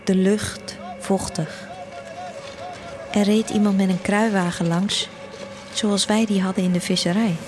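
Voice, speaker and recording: A woman's voice: medium pitch, level tone, a little slow, pauses often. A woman reading a literary first-person story as the narrator of an audio drama. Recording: music behind the voice. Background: music.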